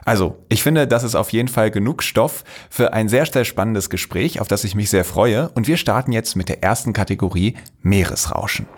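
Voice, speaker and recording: A man's voice: on the low side, brisk, few pauses. A man hosting a podcast, introducing his guests and asking friendly, enthusiastic questions. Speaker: podcast host. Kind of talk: podcast interview.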